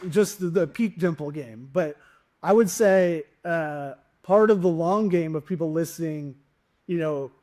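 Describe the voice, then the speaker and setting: A man's voice: a little high, measured pace, warm tone. Joking, rambling male comic host. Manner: rambling, joking, lots of restarts. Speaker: podcast host and stand-up comic. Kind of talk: casual interview chat.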